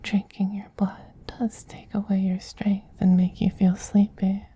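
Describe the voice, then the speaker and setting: Casting: male actor for doting femboy vampire queen, ASMR roleplay. Very breathy whisper, slow, coaxing, possessive yet tender. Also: sits high, monotone, warm tone, lo-fi sound. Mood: tender, gently teasing.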